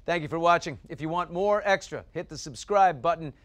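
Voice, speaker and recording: A man reading a scripted entertainment news voice-over with a brisk, punchy delivery. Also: fairly high pitch, no room sound, soft background music.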